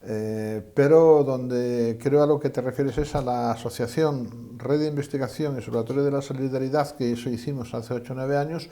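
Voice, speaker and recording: A retired older man from Asturias answers questions as an interview guest. His voice is dark and mellow. He hesitates and restarts often, and he plays down his own achievements. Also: medium pitch, fairly even intonation, normal speed, few pauses. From Asturias, Spain. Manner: modest, hesitant, self-deprecating. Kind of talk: conversational interview.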